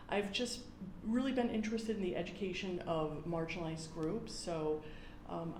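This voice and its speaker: A woman's voice: on the low side, darkish tone. A woman in her forties with an American accent, speaking conversationally as an academic guest in an interview. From United States. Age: 40s. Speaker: podcast guest, university professor. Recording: roomy echo, faint background noise.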